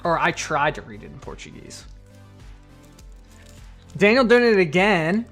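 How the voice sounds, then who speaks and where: A man's voice: high-pitched, big pitch swings, a little slow, fairly bright tone, soft background music. A man chatting casually on a live stream, reading viewer donations and comments aloud. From United States. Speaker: card collector streamer.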